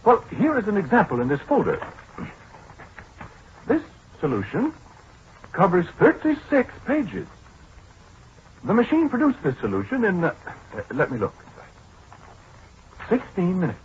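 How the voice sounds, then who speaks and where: An actor playing a proud, pedantic scientist in a 1940s radio drama, lecturing precisely with small hesitant interjections. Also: male voice, high voice, huge pitch swings, unhurried, long pauses, slight background noise, heavily acted. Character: proud, pedantic male scientist showing off a giant computer. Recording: dull, muffled 1940s radio broadcast.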